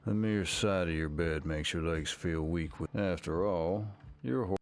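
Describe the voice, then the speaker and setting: A man's voice: deep voice, measured pace, no echo, faint music behind. A man narrating a scripted second-person story for a visual novel game.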